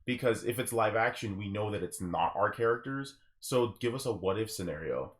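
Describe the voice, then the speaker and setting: Man, lowish pitch, podcast host, casual discussion, emphatic explainer. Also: animated, normal speed.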